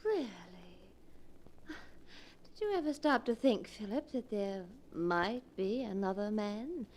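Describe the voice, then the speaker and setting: An actress playing a cold, scornful young wife in a 1940s radio drama, cool and taunting. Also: female voice, medium pitch, expressive, slow pace, darkish tone.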